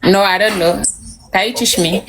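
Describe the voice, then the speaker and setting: Casting: woman, mid-pitched, brief replies. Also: moderate pace, crowd noise, rough recording. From Cameroon. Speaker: interviewee.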